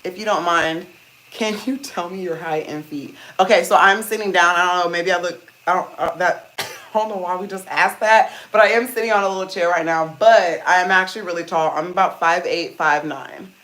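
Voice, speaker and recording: A woman with a deep voice hosting a casual hair-care livestream, chatting to viewers and calling them "you guys" while she steams her hair. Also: steady tone, normal speed, a bit bright, poor audio. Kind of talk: casual live-stream chat reading comments. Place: indoors at home, seated on a small chair. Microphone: phone.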